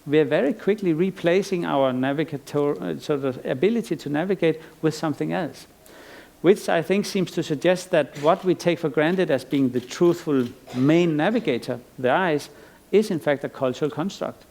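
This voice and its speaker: Gravelly-voiced man giving a rambling lecture. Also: fairly high pitch, normal speed, darkish tone. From Iceland. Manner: thoughtful, rambling with frequent restarts and fillers. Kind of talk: conference lecture. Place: lecture stage.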